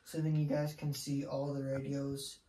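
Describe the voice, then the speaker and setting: Casual review co-host, mid-range mellow voice, chatty and unscripted, light background noise. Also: medium pitch, monotone, normal speed, rough recording. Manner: chatty, addresses viewers and co-host directly. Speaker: co-host of a product review channel.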